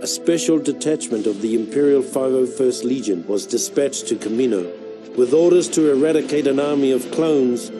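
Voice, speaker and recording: An actor playing a battle-hardened, proud clone soldier who narrates a video game war journal in a measured, dry, wry way. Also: male voice, mid-range voice, music in the background, lightly acted. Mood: wry, gritty. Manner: measured, deliberate, wry storytelling.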